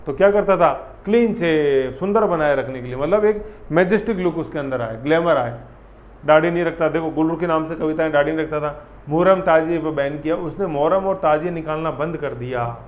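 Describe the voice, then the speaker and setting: A man's voice: a little high, fairly quick. A man teaching an exam-prep history lesson in a chatty, repetitive way, often quizzing his students. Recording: echoey room, dull audio, faint ambience.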